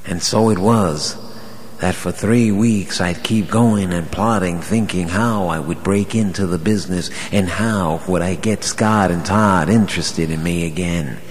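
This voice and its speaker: A man working as a radio announcer, telling a scripted first-person story in a theatrical, deliberate way. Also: deep voice, slightly rough. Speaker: radio board operator and announcer. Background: music.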